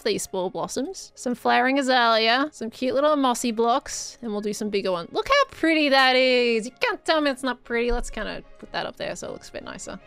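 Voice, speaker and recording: A slightly hoarse woman with an Australian accent, chatting casually through a Minecraft let's-play. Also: on the high side, a bit bright. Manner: chatty, bubbly, cooing over things. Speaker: Minecraft YouTuber.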